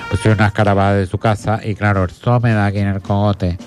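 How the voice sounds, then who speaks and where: A man's voice: lowish voice, little pitch movement, fast, a little mellow, close to an everyday voice. A man voicing a prissy, posh female beetle in a comedic improvised bit, campy and rambling. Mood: gossipy.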